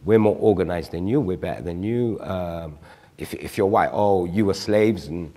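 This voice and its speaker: A man's voice: sits low, moderate pace, mellow, in a large hall. A man in his forties, a guest on a studio panel discussion, explaining his view reflectively with examples. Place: TV studio stage.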